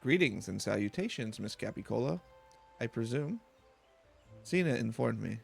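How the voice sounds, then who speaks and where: Man playing a pedantic, creaky-voiced academic researcher. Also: medium pitch, natural voice. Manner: formal, pedantic, wordy. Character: polite, long-winded academic researcher in a fantasy game.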